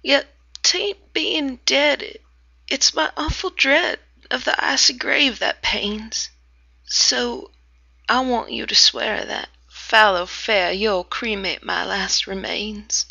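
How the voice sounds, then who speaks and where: A woman's voice: fairly high pitch, slow pace, vocal fry, pushed and bright, husky, no room sound, dull audio, full character voice. A woman voicing a freezing, dying man from the South in a recited poem, pleading in a moaning half-whisper.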